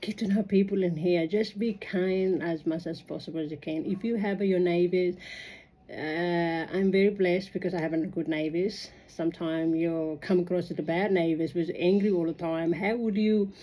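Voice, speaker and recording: A woman with a fairly low, soft-edged mellow voice speaks English as a second language. She talks casually and reflectively about her own experience, earnest and halting, often circling back as she rambles. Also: fairly even intonation. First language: non-native English speaker. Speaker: migrant sharing personal experience.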